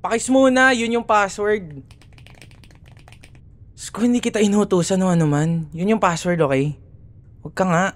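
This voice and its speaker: A man's voice: sits high, moderate pace, long pauses, lightly acted. A young man with a Filipino accent playing a cocky, teasing, easily annoyed college rival in an ASMR roleplay audio. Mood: irritated. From Philippines. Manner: teasing, sarcastic, exasperated.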